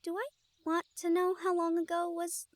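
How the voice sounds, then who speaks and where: A woman's voice: very high voice, monotone, normal speed, no echo. A woman acting in an intimate audio roleplay as an anxious, tender young woman from a family of hunters, speaking earnestly and hesitantly. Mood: wistful, self-effacing.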